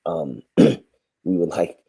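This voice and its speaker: A man who directs films, talking in a relaxed, reflective way on a call from his mother's house. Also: deep voice, very slow, slightly rough. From Brooklyn, New York. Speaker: film director guest. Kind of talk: casual interview answers.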